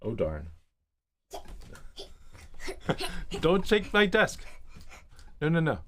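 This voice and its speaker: A man's voice: fairly high pitch, wildly swooping pitch, drawn-out words, a little hiss or hum. A man in his fifties, originally from Miami, hosting a casual livestream and chatting through viewer comments with rambling stories and many fillers. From Miami, Florida. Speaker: livestream host. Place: home office.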